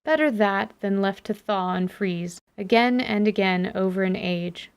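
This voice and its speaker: A woman's voice: mid-range voice, unhurried, smooth. A woman narrating a scripted fantasy story as narrator, with composed, literary delivery. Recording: steady rain ambience behind voice. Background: rain ambience.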